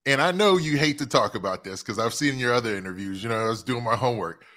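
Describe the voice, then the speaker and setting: A man's voice: mid-range voice, expressive, quick pace, fairly bright tone. A man hosting a casual interview, eagerly affirming and asking leading questions. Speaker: interview host.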